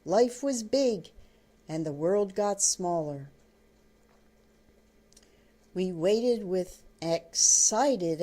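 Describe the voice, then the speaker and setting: An older woman reading her own book aloud as storybook narration, in deliberate, halting phrases. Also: fairly low pitch, warm tone.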